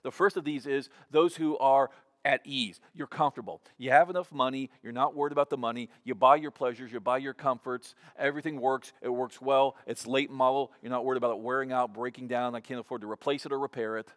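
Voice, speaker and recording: A man's voice: medium pitch, fairly even intonation, brisk, fairly bright tone. A man in his fifties delivers a sermon in a conversational preaching style. He often restarts his phrases by repeating words before he carries on. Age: 50s. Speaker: pastor.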